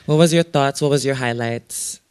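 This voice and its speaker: A man from the Philippines, hosting a live podcast panel in a relaxed, encouraging way. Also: mid-range voice, clear, resonant tone. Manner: relaxed, encouraging, quick bursts then slowing. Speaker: podcast host.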